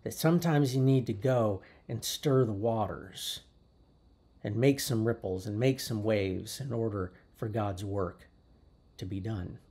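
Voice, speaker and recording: A man preaching a sermon from the pulpit of a church. His voice is mellow and slightly husky, and he speaks in a thoughtful, unhurried way. He often restarts his phrases as he works his thoughts out aloud. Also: fairly low pitch. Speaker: pastor. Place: church sanctuary.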